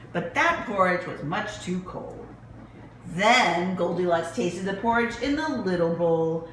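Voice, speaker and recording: Animated, unhurried woman reading a picture book. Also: medium pitch. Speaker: children's librarian reading stories. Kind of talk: expressive picture-book read-aloud for young children. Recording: roomy echo with faint background noise.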